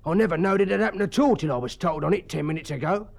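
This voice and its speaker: A man's voice: sits high, quick pace, vocal fry, pitched above the performer's own voice. A man voicing an ancient English school porter with a working-class dialect, stubborn yet deferential, rambling and plain-spoken in an audiobook story. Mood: matter-of-fact.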